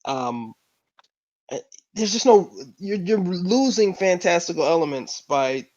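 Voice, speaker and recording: A man on a podcast panel arguing his points in casual, halting talk, over muffled call audio. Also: sits high, animated, a little mellow. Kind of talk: casual discussion. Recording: dull lo-fi online call audio.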